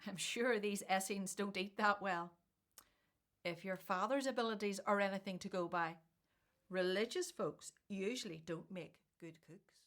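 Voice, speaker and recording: A woman's voice: mid-range voice, normal speed, a bit bright, natural voice. A woman acting in a radio Bible play as a tender, practical and devout elderly mother. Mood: fussing, wry. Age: older.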